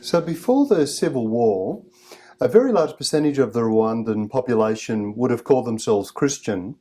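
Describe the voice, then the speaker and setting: A man hosting an interview, reading a prepared introduction and then asking measured questions while murmuring soft acknowledgements. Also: medium pitch, animated. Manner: measured, attentive, frequent soft backchannel "mm". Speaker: interview host.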